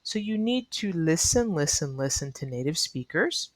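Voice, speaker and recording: A woman's voice: low voice, animated, moderate pace, no echo. A woman teaching an online English lesson in a neutral American accent, explaining earnestly to learners and repeating key advice for emphasis. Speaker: ESL teacher.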